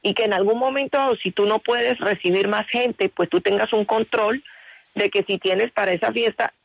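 A woman's voice: mid-range voice, steady tone, moderate pace, creaky, husky, no room sound. A woman answering a radio interview with earnest insistence and frequent restarts. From Colombia. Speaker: interview guest.

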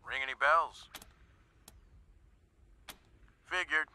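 A male military radio officer for a video game. His voice is sharp, very bright and a bit high. He talks in clipped, businesslike radio phrases with a friendly streak. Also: moderate pace. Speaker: radio dispatcher.

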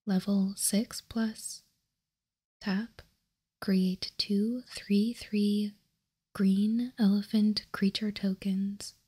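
Female ASMR host, very breathy whisper, mellow and even, calmly walking through trading cards. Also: medium pitch. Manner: soft, slow, measured, calming. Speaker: host of a card ASMR channel.

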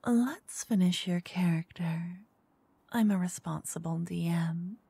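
A woman doing a soft-spoken ASMR roleplay as a flirty dungeon master. She teases, then whispers close into each ear in turn. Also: lowish voice, takes it slowly, creaky, slightly rough, dry recording. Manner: teasing, flirty, switching between whispers and soft speech. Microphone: close binaural mic.

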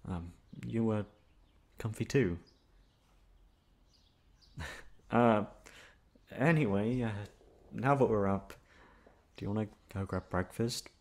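A man playing a shy, flustered, gentle young classmate in an ASMR roleplay, hesitant and stammering. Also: lowish voice, slow pace, long pauses. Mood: shy relief. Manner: hesitant, stammering, soft-spoken.

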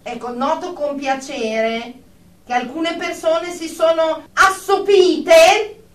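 An actress playing a stern, exasperated group therapist, icily formal and sarcastic, in a comic radio drama. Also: female voice, very high-pitched, slow pace. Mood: coldly formal. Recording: echoey room, distant mic.

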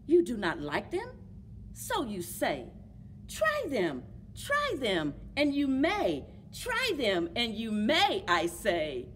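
A woman's voice: a little high, huge pitch swings, takes it slowly, fairly bright tone, a little hiss or hum, exaggerated voice. A woman voicing a pushy, eager storybook creature who coaxes with insistent, rhyming questions. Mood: persistent coaxing. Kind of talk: rhyming picture-book read-aloud. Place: public library children's book corner.

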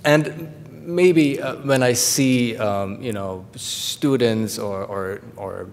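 A middle-aged man with a German accent gives a lecture from a podium in a large hall, talking haltingly with many fillers and restarts. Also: creaky. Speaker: guest lecturer. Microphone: podium microphone. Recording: long hall reverb, faint rumble.

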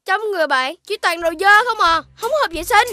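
Lil cartoon puppy, really high childlike voice, curious, music behind. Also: animated, fairly quick, pushed and bright, no room sound, clean studio sound, heavily acted. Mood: earnest. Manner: childlike, questioning. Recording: music under the voice.

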